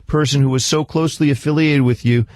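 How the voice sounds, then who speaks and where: A man's voice: fairly high pitch, steady tone, quick pace, darkish tone, no room sound. Insistent male radio therapist, close dry sound. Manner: insistent, interrupting, explanatory. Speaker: psychotherapist hosting a radio counselling segment. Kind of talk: probing therapy talk on radio.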